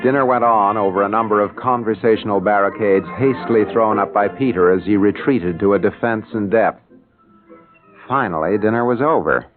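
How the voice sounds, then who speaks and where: A dry, determined American family man played by a male actor in an old radio comedy. His voice sits fairly low and he words things with mock formality. The sound is thin and narrow, like an old broadcast. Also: normal speed, soft background music, close to an everyday voice. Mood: wry. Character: wry, determined suburban father, narrator of his family troubles. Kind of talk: mid-century radio comedy acting.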